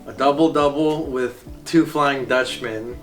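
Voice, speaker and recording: A man's voice: mid-range voice, a little slow. A man in his twenties, a band member, chatting in a laid-back, slangy way. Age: 20s. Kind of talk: casual chat. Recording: distant mic with background music.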